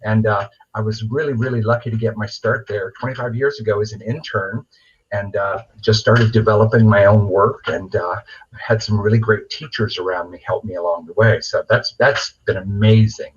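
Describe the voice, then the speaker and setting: Middle-aged male guest, husky, mid-pitched, chatty and enthusiastic, on muffled call audio. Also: fairly even intonation, moderate pace. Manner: enthusiastic, rambling. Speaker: puppeteer, interview guest. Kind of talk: casual chat. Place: remote video call. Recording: dull, lo-fi video call audio.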